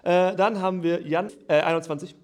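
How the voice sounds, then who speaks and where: A man assisting on a comedy game show, briskly reading out scores and answering the judge. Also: sits high, fairly even intonation, a loud crowd, heavy background noise. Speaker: taskmaster's assistant.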